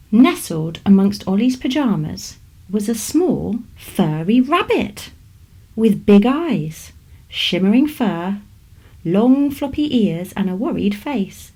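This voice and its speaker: British lady narrating a kids picture book, medium pitch, expressive storyteller. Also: husky, low-quality recording. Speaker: author reading aloud. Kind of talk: scripted children's story narration.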